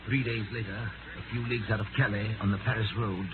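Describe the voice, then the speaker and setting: A grave, calm storyteller played by a male actor narrates a radio play in a subdued voice. The 1930s broadcast is narrow and tinny, with clear noise. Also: lowish voice, fairly quick, lightly acted. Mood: grave, hushed. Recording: tinny, noisy 1938 radio recording.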